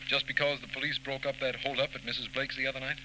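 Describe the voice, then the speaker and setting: A man acting an earnest district attorney in a 1940s radio mystery. His voice is medium-pitched and formal, with scripted period delivery. Clear noise covers the old recording. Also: level tone, fast talker, close to an everyday voice. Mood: puzzled. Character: earnest, formal male district attorney, detective's puzzled friend.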